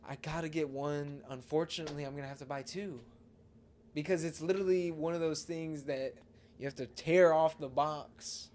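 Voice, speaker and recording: A man's voice: on the high side, fairly even intonation, moderate pace, a little mellow, a little hiss or hum. A man co-hosting a podcast, chatting casually with plenty of slang and occasional swearing. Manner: slangy, profane. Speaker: podcast co-host.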